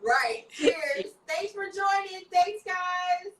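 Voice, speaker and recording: A woman's voice: very high-pitched, very slow, reverberant, recorded from a distance, a little hiss or hum. A woman hosting a podcast in casual chat, playful and a little tipsy from wine, slightly slurring. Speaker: podcast host.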